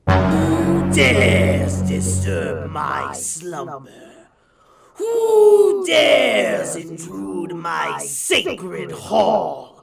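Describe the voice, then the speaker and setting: A man's voice: on the high side, wildly swooping pitch, very slow, gravelly, loud music behind the voice, full character voice. A man playing a menacing, indignant armored giant in a comic audio drama, with a grandiose manner and an echo on the voice. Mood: thunderous outrage. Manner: grandiose, bellowing threats. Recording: roomy echo on the voice.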